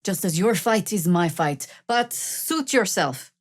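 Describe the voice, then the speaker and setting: An actress playing a battle-hardened older druid warrior in a video game, with a dry, sardonic, commanding manner. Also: female voice, on the low side, lively intonation, slow, no echo. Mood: grave, sardonic. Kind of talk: video game character voice acting.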